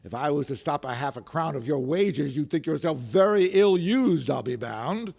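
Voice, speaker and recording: A man's voice: a little high, lively intonation, moderate pace, creaky, a little mellow. An actor playing a grumpy, miserly older businessman, curt and scornful, in an old-time radio drama style. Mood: grudging.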